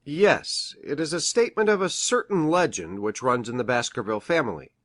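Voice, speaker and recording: A man acting as an earnest male doctor in an audiobook drama, recorded close and dry. His mid-pitched voice is formal and solemn, telling an old-world tale with measured care. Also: lively intonation, fairly bright tone, dry recording, lightly acted. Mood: earnest, businesslike. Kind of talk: dramatic audiobook reading of archaic narrative.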